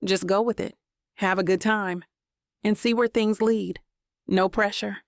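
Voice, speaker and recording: Bright, encouraging girlfriend played by a woman. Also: mid-range voice, fairly even intonation, unhurried, no room sound, studio quality, close to an everyday voice. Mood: reassuring. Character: lively, supportive woman best friend on the phone. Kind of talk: audiobook character dialogue.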